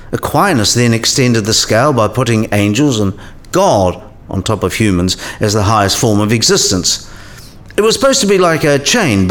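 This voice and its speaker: A man's voice: mid-range voice, natural voice. A man playing a learned professor in a radio play, explaining science history in a precise, didactic way.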